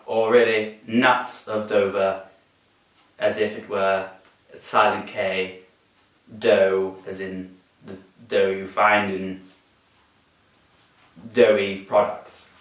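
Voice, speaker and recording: A man's voice: on the low side, fairly bright tone. Male storyteller, whimsical mock-formal delivery, very echoey, distant from the mic, music behind. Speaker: storybook reader. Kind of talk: scripted comic storytelling with asides.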